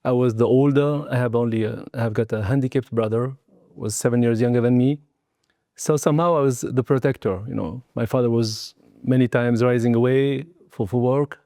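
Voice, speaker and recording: A man's voice: mid-range voice, fairly even intonation, brisk, warm tone, dry recording, studio quality. A man in his sixties from Tunisia, a non-native speaker, talking reflectively as an interview guest in hesitant, broken phrasing. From southern Tunisia. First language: not English. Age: 60s. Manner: hesitant, broken phrasing in non-native English, frequent "you know" and "eh" tags. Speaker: guest, martial arts and Qigong teacher. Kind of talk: reflective personal interview talk.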